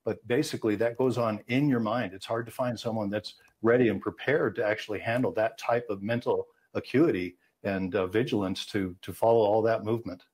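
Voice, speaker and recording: A man's voice: on the low side, steady tone, fairly quick, few pauses, a little mellow, breathy edge. An American man in his sixties giving expert safety commentary in a news interview over a video call. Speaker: aviation safety analyst. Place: indoor room on a video call.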